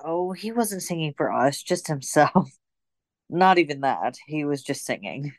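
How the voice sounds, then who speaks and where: A woman's voice: deep voice, expressive, a little slow, no echo. A woman playing a devoted, wistful lover and rebel in a radio drama, speaking plainly and intimately. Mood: sleepy, wistful. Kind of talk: radio play acting.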